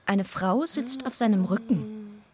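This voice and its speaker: An actress playing a pious deaconess who narrates in measured, short sentences for a radio play. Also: female voice, medium pitch, a little mellow, husky. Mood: hushed shock.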